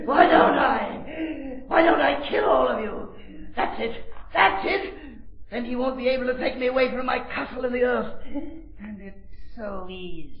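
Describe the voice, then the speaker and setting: An actor playing a crazed, lonely, cackling villain who repeats phrases gleefully in an old radio melodrama. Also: sits high. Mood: manic. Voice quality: cackling, creaky. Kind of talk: 1940s radio melodrama acting. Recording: muffled, echoey vintage radio recording.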